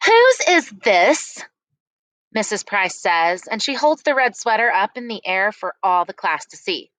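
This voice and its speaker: A woman reading a first-person story aloud expressively, with dramatic, deliberate phrasing. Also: medium pitch, expressive, fairly bright tone, no echo, rough recording. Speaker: read-aloud narrator.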